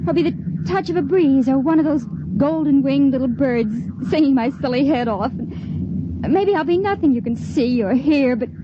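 A high-pitched young woman, tender and bravely resigned in the manner of 1950s radio drama. Loud engine-like rumble and a lo-fi old broadcast sound surround her. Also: lively intonation, moderate pace. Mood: wistful farewell.

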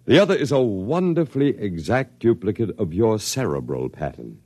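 An actor in a classic radio drama playing a smooth, zealous scientist who speaks in a measured, polite, condescending way. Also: male voice, lowish voice, very animated, dark tone, no echo. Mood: smooth, explanatory. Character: smooth, courteous, zealous male scientist running an eternal archive.

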